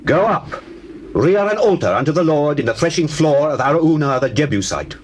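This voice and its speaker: An actor playing an urgent, forceful biblical figure in a scripture drama, with declamatory delivery. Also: male voice, fairly high pitch, expressive, normal speed, lightly acted. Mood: stern urging. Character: urgent, forceful biblical man of high station. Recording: faintly noisy old cassette tape.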